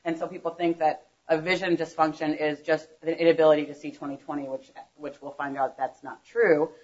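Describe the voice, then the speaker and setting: A woman optometrist giving a conference lecture into a microphone, loud and chatty, correcting herself as she goes. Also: sits low, level tone, fairly quick. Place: conference room. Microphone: handheld microphone. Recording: dull lo-fi lecture recording.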